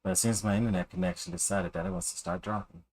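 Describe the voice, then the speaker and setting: A man chatting casually as a live game streamer, in a hesitant, halting way. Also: sits low, fairly even intonation, a little mellow. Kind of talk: casual game livestream chat.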